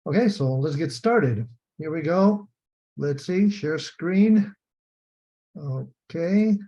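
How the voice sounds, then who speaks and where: A man's voice: on the high side, expressive, slow pace, mellow. An older man from Spain with a Spanish accent gives an explanatory lecture over a video call, methodically repeating and rephrasing key points. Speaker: retired biomechanics professor, lecturer. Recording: dull, muffled video call audio.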